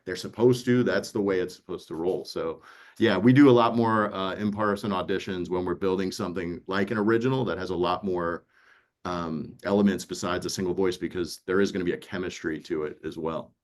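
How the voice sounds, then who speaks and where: A man's voice: low voice, a little fast. A man talking casually and earnestly as an audiobook producer in a panel conversation, explaining things with plenty of "you know" and "like".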